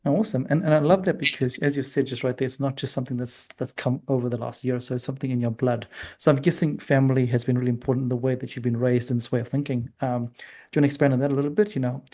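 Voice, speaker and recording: A man's voice: medium pitch, steady tone, fast talker, darkish tone. A man hosting a podcast, interviewing in an informal, conversational and affirming way. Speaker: podcast host.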